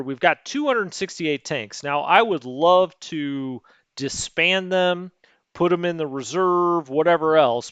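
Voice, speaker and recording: A man's voice: a little high, fairly bright tone, smooth, no echo. A man hosting a strategy game let's play, thinking aloud in a rambling, hesitant way with lots of fillers and second-guessing. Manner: rambling, self-questioning, hesitant, many fillers.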